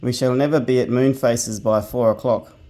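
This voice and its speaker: A brisk young boy talks in a bossy, matter-of-fact way, as a man reading a bedtime story puts him on. His voice is pitched a little up, with a soft, dark tone. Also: steady tone, natural voice.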